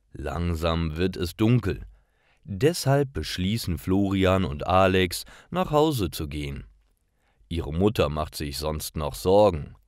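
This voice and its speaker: A man reading scripted stories for language learners, phrasing each short sentence deliberately and enunciating clearly. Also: low-pitched, dry recording, clean studio sound. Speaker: narrator of German learning stories.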